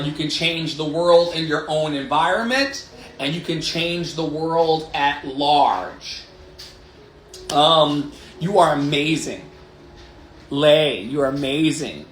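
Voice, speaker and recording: A man's voice: on the high side, fairly bright tone, slight background noise. A man in his late thirties hosting a motivational livestream, talking candidly and emphatically with swearing and repeated affirming phrases. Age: 30s. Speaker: life coach and livestream host. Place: living room. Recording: roomy echo, music behind.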